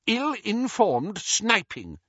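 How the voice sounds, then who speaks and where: Audiobook role: elderly wizard done by a male reader, wheezy, raised pitch, solemn, easily offended, wavering up and down. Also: huge pitch swings, slow, a bit bright, breathy edge, dry recording, muffled recording, exaggerated voice. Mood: coldly offended. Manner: stiff, solemn, wounded dignity. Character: loyal, stiff, indignant elderly wizard gentleman.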